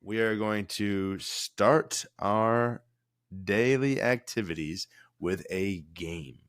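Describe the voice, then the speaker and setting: A man with a cold, co-hosting a podcast in a low, mellow voice, joking and rambling with restarts. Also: slow. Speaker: podcast co-host, former cruise director. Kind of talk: casual podcast chat.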